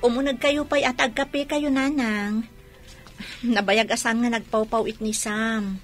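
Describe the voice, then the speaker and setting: A woman's voice: on the high side, fairly even intonation, a little slow, faint music behind, natural voice. A woman playing a weary, patient wife in an Ilocano radio drama, speaking in a pleading, apologetic manner. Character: weary, patient wife left waiting for money.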